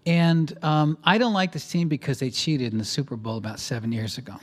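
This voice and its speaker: A man from the New area, speaking in a slightly higher register than most men. He preaches a sermon in short bursts for an interpreter and tells stories in a lively way, acting out the dialogue. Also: brisk. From New York area. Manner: lively storytelling, acting out conversations. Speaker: guest preacher.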